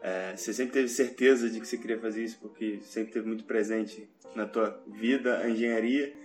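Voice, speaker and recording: A man's voice: lowish voice, steady tone, moderate pace, faint music behind. A man hosting a casual podcast, introducing the episode and asking easygoing questions with short acknowledgements. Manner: friendly, brief acknowledgements. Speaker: podcast host.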